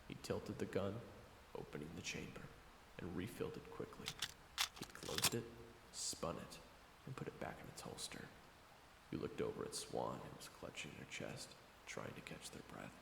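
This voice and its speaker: A man's voice: a bass voice, gravelly, background noise. A man reading scripted second-person story narration for an audio roleplay. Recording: roomy hall reverb, music underneath. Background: background music.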